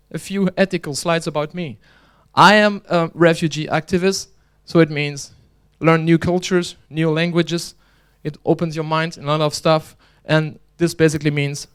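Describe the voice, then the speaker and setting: Clear-voiced man giving a joking conference talk. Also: on the high side, a bit bright. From Belgium. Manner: chatty, joking, restarts often. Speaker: conference speaker and organizer. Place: conference hall.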